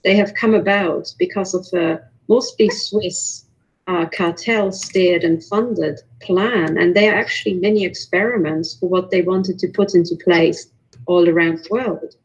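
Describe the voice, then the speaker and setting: A woman from Romania giving personal testimony as a guest in an online panel, earnest and halting, with restarts. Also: on the low side, husky, highs cut off. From born in Romania, later moved to Germany. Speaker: invited panel guest, researcher. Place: remote online call.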